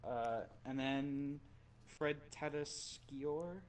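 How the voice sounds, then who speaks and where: A man's voice: mid-range voice, steady tone, extremely slow. Man explaining game lore, faint hiss. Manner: informative, lays out game lore. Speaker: co-host panelist. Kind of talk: casual gaming chat.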